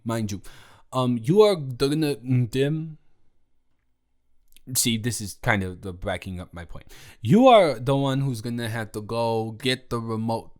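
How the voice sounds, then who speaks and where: A man's voice: mid-range voice, natural voice. A young man playing a playful drunk college roommate in an intimate audio roleplay, rambling, slurring, joking and repeating himself. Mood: mock stern. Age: young adult.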